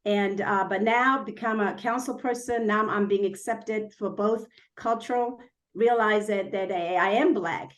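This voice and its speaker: A woman's voice: mid-range voice, fairly even intonation, unhurried. A woman from Korea, Korean her first language, a city councilwoman giving an earnest campaign speech with many fillers and restarts.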